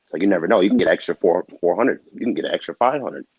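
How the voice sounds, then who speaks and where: Male interviewee, low register, dry and dull recording; casual advice-giver who restates points for emphasis. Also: animated, racing, breathy edge, no echo. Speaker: interview guest in the trucking business. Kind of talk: casual chat, giving advice.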